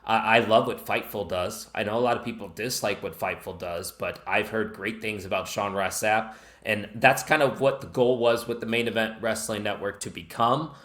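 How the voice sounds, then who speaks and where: An American man with a fairly low voice and a Chicago accent. He talks at length as a podcast guest, earnest and eager, and drifts off the question. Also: moderate pace, few pauses, fairly bright tone. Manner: talkative, earnest, enthusiastic, drifts off the point. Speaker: podcast guest, wrestling network founder. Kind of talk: casual podcast chat.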